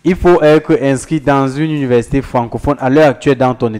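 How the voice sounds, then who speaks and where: A man's voice: medium pitch, fairly even intonation, brisk, darkish tone. A man advising students on study procedures, speaking directly to viewers, repeating key points with emphasis. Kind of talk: explanatory talk to camera.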